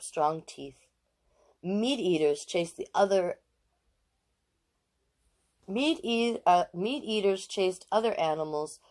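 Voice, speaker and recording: A woman in her late forties hosting storytime, reading aloud to children with expressive emphasis and chatty asides. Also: lowish voice. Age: late 40s.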